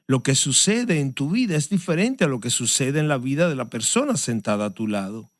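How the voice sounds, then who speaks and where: A man who is a pastor, giving a sermon and answering interview questions in an earnest, measured way. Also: medium pitch, big pitch swings, no room sound, studio quality. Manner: earnest, measured preaching delivery.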